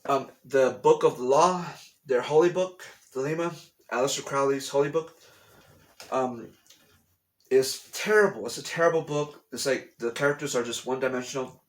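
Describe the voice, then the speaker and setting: A man in a casual home vlog, talking in a middle-pitched voice. He rambles, circles back to repeat phrases and bluntly waves off conspiracy theories. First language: English. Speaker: vlogger. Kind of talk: casual explanatory monologue. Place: at home, room with a TV.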